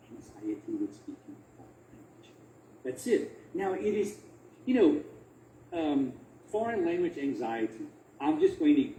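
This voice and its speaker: Man presenting, highly animated, slow and roomy. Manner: emphatic, repeats phrases, rhetorical questions. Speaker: academic researcher presenting a talk. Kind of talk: conversational academic presentation. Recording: roomy echo, faint background noise.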